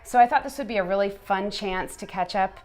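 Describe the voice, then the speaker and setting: A woman's voice: medium pitch, brisk, darkish tone. A woman hosting an interview, asking questions and giving brief friendly affirmations. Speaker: host, interviewer.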